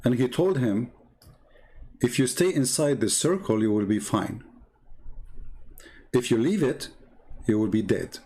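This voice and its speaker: A man's voice: mid-range voice, expressive, a little slow, pauses often, dark tone, slightly rough. A man who speaks English as a second language, giving an informal explanatory talk in a didactic, emphatic way, repeating his phrases. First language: not English. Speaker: religious commentator, show host. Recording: shortwave radio talk.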